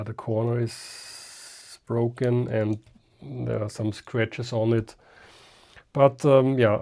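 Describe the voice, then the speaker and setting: Slow-talking man with a mellow voice. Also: mid-range voice. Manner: hesitant, thinking aloud, muttering to himself. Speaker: hobbyist host of a restoration video. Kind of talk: casual unscripted how-to commentary while working.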